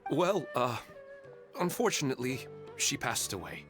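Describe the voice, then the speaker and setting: A man voicing a gentle widower in a video game, soft-spoken and sincere. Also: mid-range voice, sing-song, measured pace. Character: gentle widower longing to see his late wife. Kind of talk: game voice acting. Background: faint background music.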